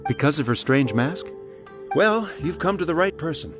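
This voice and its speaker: A man's voice: on the high side, expressive, normal speed, close to an everyday voice. A man voicing a friendly island soldier in a video game, telling his story earnestly. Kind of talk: video game character voice acting. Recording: background music.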